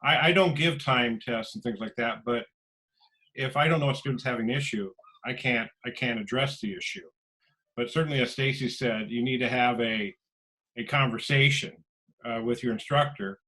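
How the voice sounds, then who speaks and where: A man instructor offers advice in a conversational, folksy way. His voice sits at an average pitch, and he puts things plainly, as in an informal online meeting. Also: moderate pace, bright, forceful tone, low-quality recording. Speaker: college instructor. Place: online video call.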